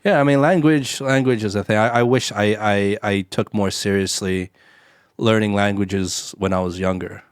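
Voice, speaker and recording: A man's voice: fairly low pitch, fairly even intonation, moderate pace, clean tone, no room sound, clean studio sound. A man from the United States hosting a podcast, talking in a candid, rambling way and swearing freely. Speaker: podcast host. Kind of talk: casual podcast chat.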